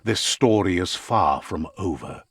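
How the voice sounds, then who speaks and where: An actor playing a whimsical, wise storyteller who narrates an animal fable in a video game with theatrical, philosophical delivery. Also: male voice, low voice, animated, a little slow, breathy edge, dry recording, studio quality, heavily acted. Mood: wise, reflective.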